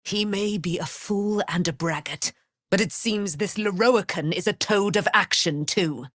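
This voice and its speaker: A woman's voice: medium pitch, measured pace, a bit bright, dry recording, clean studio sound. An actress voicing a proud, righteous, vengeful immortal female knight in a grand, archaic, declamatory fantasy game style. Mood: resolute. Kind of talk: theatrical fantasy game voice acting.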